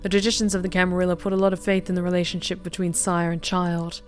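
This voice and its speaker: Young woman reading a visual novel out loud, lowish voice, gets loud and excited reacting, soft music behind. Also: quick pace. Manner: expressive, flips into bursts of excited loud commentary. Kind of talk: game narration with live reactions.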